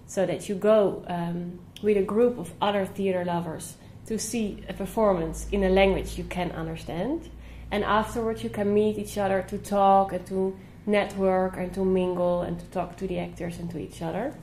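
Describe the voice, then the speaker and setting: A woman who organizes a theater program, explaining her idea in a steady interview. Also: medium pitch, moderate pace, few pauses, echoey room, slight background noise.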